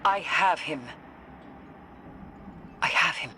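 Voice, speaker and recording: An actress playing a stern, solemn occult warrior-sage in a video game, speaking in a grave, theatrical, commanding way. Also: female voice, lowish voice, slow pace, sharp tone, a little hiss or hum. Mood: grave, intense. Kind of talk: scripted video game acting. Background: game music.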